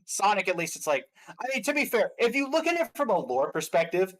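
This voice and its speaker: Pria mengobrol santai soal gim. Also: male voice, high voice, very animated, brisk, a bit bright. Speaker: guest commentator on game awards stream. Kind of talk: casual chat, opinionated game commentary.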